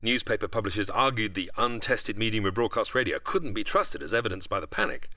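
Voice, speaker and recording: Male guest expert, articulate storyteller. Low-pitched but lively, with a dry, close sound. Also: fairly quick, dry recording. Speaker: guest expert explaining history. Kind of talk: explanatory interview, largely prepared narration.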